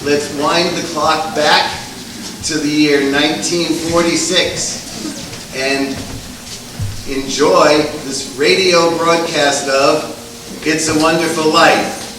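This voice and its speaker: A man's voice: a little high, steady tone, takes it slowly, background noise. Man addressing a theatre from far off, echoey. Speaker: theatre director introducing a show. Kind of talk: live welcome speech to an audience. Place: live theatre, distant microphone.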